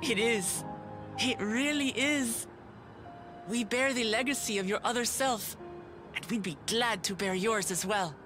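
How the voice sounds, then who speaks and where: An actress playing a gentle, sincere young woman adventurer in a fantasy game, speaking in a measured, consoling way. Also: fairly high pitch, music in the background, natural voice. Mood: warm, resolute.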